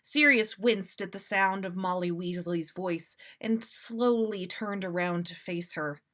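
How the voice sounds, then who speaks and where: A woman's voice: on the low side, unhurried, fairly bright tone. A woman reading a story aloud expressively as the host of a story session. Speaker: podcast host reading listener fan fiction.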